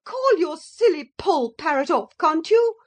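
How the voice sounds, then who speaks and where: A woman voicing a rude, bossy, scheming lady who talks in a shrill, screeching voice. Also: sing-song, unhurried. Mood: shrieking.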